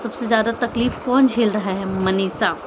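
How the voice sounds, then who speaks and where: A woman's voice: medium pitch, fairly even intonation, moderate pace, muffled recording, background noise. A woman vlogger giving informal, earnest opinion commentary, pleading with listeners and repeating phrases and rhetorical questions. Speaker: vlogger commenting on online family drama.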